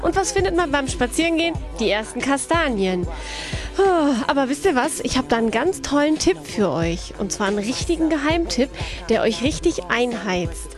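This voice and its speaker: Brief: woman doing bright-pitched, chatty podcast host, playful, with music behind her. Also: big pitch swings, normal speed. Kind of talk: casual presenting and interviewing.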